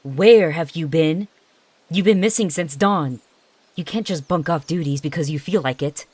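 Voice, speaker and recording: A woman's voice: sits low, normal speed, a little mellow, breathy edge, dry recording. Woman as a stern she-cat mentor, scolding. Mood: furious. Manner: scolding, clipped questions. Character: stern, short-tempered adult female cat mentor.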